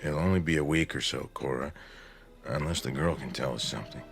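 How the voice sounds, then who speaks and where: A blunt small-town husband in old-time radio style. His voice is extremely deep, his manner stern and insistent. Music plays faintly underneath. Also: a little fast, vocal fry. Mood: matter-of-fact.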